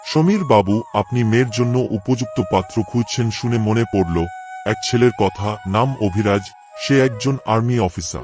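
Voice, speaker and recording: A man's voice: fairly low pitch, moderate pace, a little mellow, faint music behind, natural voice. A man playing a courteous matchmaker in a cartoon drama. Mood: polite, recommending. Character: courteous matchmaker pitching a suitable groom. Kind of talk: cartoon story voice acting.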